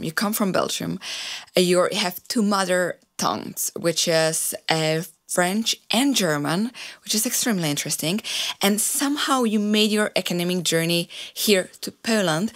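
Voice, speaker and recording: A woman hosting an interview in a studio, speaking in a measured way with hesitant fillers. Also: fairly low pitch, fairly bright tone. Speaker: host.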